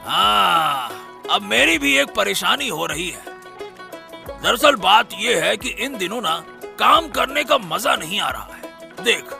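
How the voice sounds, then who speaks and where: Man acting a gravelly, grumbling cartoon boatman. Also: high-pitched, pushed and bright, heavily acted. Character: discontented scheming boatman, grumbling, wanting easy riches. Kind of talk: Hindi cartoon story dialogue.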